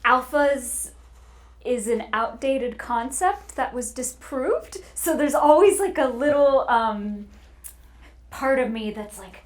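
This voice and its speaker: Woman, higher register, expressive guest in a relaxed, informal group conversation. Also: slow. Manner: animated, expressive. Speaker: voice actor guest. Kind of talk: casual panel chat.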